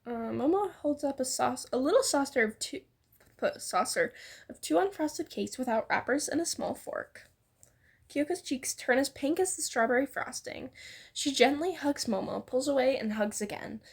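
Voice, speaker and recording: A woman reads fan fiction aloud as a co-narrator. Her voice is high, with vocal fry and a husky rasp, and her manner is informal and playful. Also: expressive, moderate pace. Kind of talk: expressive fan fiction reading.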